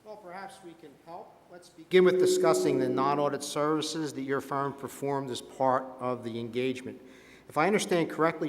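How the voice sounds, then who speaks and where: A man's voice: on the high side, natural voice. A man playing a courteous, methodical board investigator who puts formal, probing questions in a scripted role-play. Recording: reverberant meeting hall.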